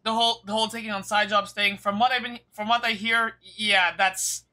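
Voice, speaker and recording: High-pitched, bright-voiced male streamer. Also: brisk. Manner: excitable, blunt, swearing. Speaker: game streamer. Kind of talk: casual live reaction commentary.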